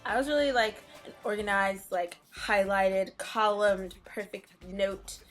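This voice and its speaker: A woman's voice: medium pitch, measured pace, a bit bright, soft background music. Young woman vlogger, easygoing and giggly, mid-range voice. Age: young adult. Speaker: co-host of a fan channel. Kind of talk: casual Q&A chat.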